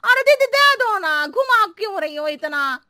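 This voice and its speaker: Woman doing shrill riddling cartoon maid. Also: expressive, unhurried, dry recording, clean studio sound, full character voice. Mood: pleading. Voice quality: very high, shrill and bright. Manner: roundabout, playful, cheeky pleading. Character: scatterbrained chatty adult housemaid woman who talks in riddles. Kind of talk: cartoon story dubbing.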